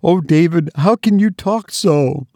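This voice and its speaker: A man's voice: on the high side, animated, measured pace, vocal fry, darkish tone, dry recording, studio quality, pitched above the performer's own voice. A man reading aloud and voicing a tearful, frightened middle-aged mother who sobs and pleads. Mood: sobbing plea. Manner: sobbing, pleading.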